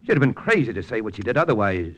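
An actor playing a hard-boiled American army intelligence lieutenant, tense and bitter, in old-time radio suspense style. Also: male voice, on the low side, very animated, fast talker, a bit bright, lo-fi sound. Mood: bitter, agitated. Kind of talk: 1940s-50s radio drama, first-person suspense narration. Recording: noisy old radio recording.

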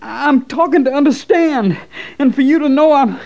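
A man's voice: extremely high pitch, normal speed, gravelly. A man playing an anguished, ashamed young army deserter, stammering and pleading, in an old radio western. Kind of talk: 1940s-50s radio western acting. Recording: muffled old radio recording.

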